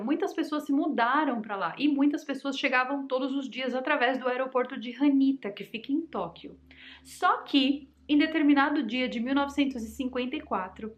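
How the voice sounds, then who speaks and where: High-voiced Brazilian woman telling mysteries. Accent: Brazilian Portuguese. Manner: chatty, dramatic, addresses the audience directly. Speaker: mystery channel host. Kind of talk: casual storytelling to viewers.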